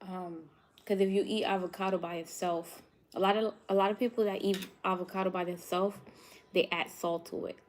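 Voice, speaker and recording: A woman's voice: lowish voice, fairly even intonation, normal speed. A woman from New York hosting a live cooking stream, chatting casually with viewers and laughing often. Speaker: cooking show host.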